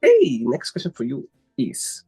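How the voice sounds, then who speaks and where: A man's voice: mid-range voice, huge pitch swings, measured pace, over music. A man hosting an interview, asking long, winding questions padded with "you know". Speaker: host.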